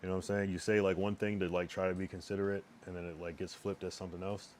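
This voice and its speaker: A young man with a very low, worn-sounding voice rambles through a casual live stream, halting and restarting as he talks. He sits at a home streaming setup with his own microphone. Faint background noise hums underneath. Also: level tone, a little mellow. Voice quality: strained, worn voice.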